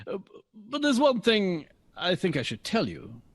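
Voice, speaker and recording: A man playing a suave, domineering older jazz bandleader in a period audio drama, husky and smooth-talking with showy, pompous phrasing. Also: sits high, huge pitch swings, normal speed, exaggerated voice. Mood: flattering, persuasive. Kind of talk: period radio drama acting.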